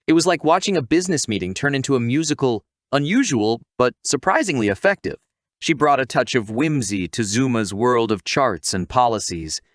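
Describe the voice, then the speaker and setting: A man's voice: medium pitch, fairly bright tone, no room sound, studio quality. A man reading a scripted recap narration in a wry, tongue-in-cheek storytelling manner.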